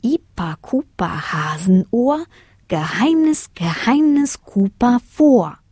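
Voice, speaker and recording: Woman voicing eager high-pitched little girl. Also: big pitch swings, takes it slowly, warm tone, husky, no room sound, studio quality, full character voice. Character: curious, eager little girl, easily delighted.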